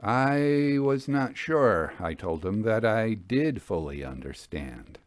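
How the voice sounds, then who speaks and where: A man narrating a classic literary ghost story in an expressive, measured, theatrical manner. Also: on the low side.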